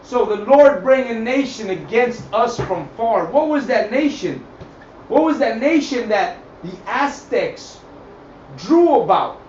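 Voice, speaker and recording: A man's voice: very high-pitched, big pitch swings, slow, a little mellow. Man, religious teacher, emphatic, repetitive, improvised lesson, dull sound, faint noise. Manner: emphatic, repeats phrases, checks in with listeners. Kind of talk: improvised scripture and history lesson. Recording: echoey, distant mic, dull, faint noise.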